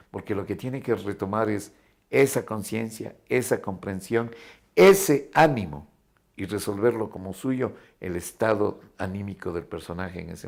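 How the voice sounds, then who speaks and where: A man speaking reflectively in an interview as a drama director, deliberate and halting. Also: on the low side, vocal fry, a little mellow.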